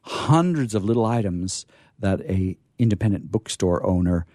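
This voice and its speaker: A man's voice: sits low, lively intonation, moderate pace, warm tone, dry recording, studio quality. A man hosting an informal live talk, hesitant and repeating his phrases, with playfully formal turns. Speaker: library staffer hosting a discussion.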